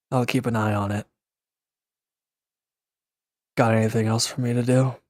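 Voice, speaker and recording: A man's voice: mid-range voice, no room sound, clean studio sound, close to an everyday voice. A man playing a wounded former villain in an audio roleplay, sullen, defensive and restless, talking in a curt, grudging, sarcastic way. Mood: grumbling, reluctant. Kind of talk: intimate audio roleplay acting.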